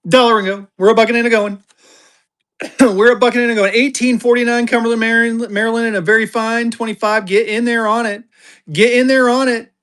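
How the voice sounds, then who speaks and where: A tired man running a live banknote auction on a stream, hyping bids in quick bursts and talking about himself in the third person. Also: extremely high pitch, flat delivery, a bit bright.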